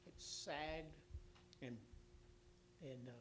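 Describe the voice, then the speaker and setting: A hoarse man giving a city council utility report, rambling and halting with many restarts and fillers. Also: fairly high pitch, fairly even intonation, a bit bright, a little hiss or hum.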